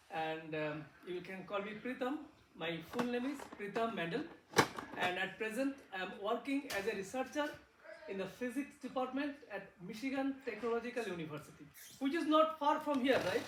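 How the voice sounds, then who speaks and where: A man with an Indian accent, a physics researcher, explaining science to children in simple words and asking them questions. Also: extremely high pitch. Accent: Indian English. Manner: simple words, asks the kids questions, repeats words for emphasis. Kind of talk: classroom talk to kids. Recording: echoey room, far from the mic, faint noise.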